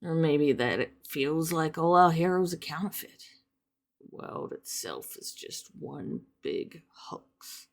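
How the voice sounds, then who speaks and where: A woman playing a withdrawn, bitter young male hacker in a hushed, breathy, muttered dramatic monologue. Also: exaggerated voice. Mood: bitter, numb. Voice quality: hushed, low, breathy murmur. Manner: flat, quick muttered delivery. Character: disillusioned, withdrawn young hacker man, bitterly anti-society.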